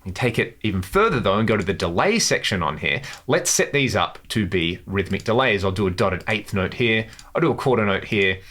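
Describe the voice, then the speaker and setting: Bright, low-pitched man presenting a tutorial. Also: lively intonation, fairly quick, few pauses. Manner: enthusiastic. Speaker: guitar tutorial host. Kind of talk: casual instructional demo to camera.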